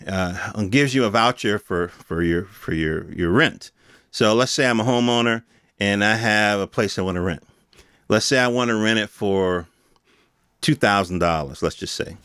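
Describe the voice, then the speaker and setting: A man's voice: fairly low pitch, a bit bright, smooth, no room sound. American man ranting in a very dry recording. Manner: emphatic, repetitive, colloquial rhetorical ranting. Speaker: podcast host. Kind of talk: unscripted opinion commentary on the news.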